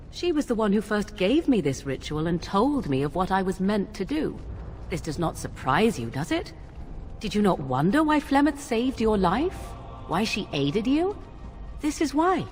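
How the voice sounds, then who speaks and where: An actress playing an aloof, secretive young witch in a fantasy game, speaking in formal, archaic, deliberate phrases. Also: mid-range voice, sing-song, darkish tone, soft background music, close to an everyday voice. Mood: firm, defiant. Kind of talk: dramatic fantasy game dialogue.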